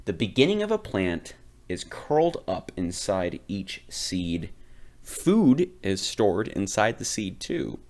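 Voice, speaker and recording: A man reading a children's picture book aloud, phrasing it deliberately and with expressive emphasis. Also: fairly low pitch. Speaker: read-aloud reader.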